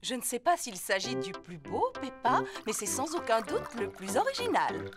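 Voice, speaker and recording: A woman's voice: a little high, normal speed, over loud music. A woman voicing a patient, teacherly mother zebra who does pottery, in a French cartoon dub. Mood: gentle. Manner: gentle, teacherly, lively.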